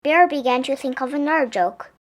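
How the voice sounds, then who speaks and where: A woman's voice: high voice, brisk. A woman reading a picture book aloud expressively for young children. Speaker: storybook reader.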